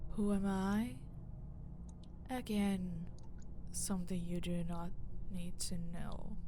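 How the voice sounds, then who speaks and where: A woman playing a cold, domineering mafia boss in a roleplay audio drama, taunting and menacing, often dropping into a breathy hush. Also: medium pitch, slight background noise, natural voice.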